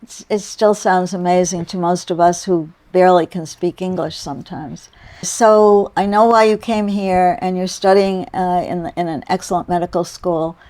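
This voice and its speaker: A woman's voice: on the low side, steady tone, moderate pace, mellow. An American woman hosting a health podcast, asking thoughtful questions of her guest. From United States. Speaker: podcast host. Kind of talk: interview conversation.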